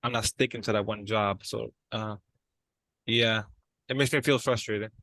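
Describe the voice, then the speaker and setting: A man playing a frustrated, unsure brother in a dramatized therapy session, talking in a halting, hesitant way. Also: lowish voice, fairly even intonation, sharp tone, no echo. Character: frustrated, unsure brother struggling to keep a job.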